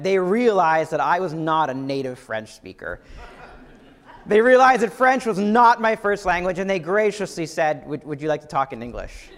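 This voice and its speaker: A man with a Canadian accent preaches a sermon, emphatically repeating his key phrases. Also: high-pitched. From Ontario, Canada. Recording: long hall reverb.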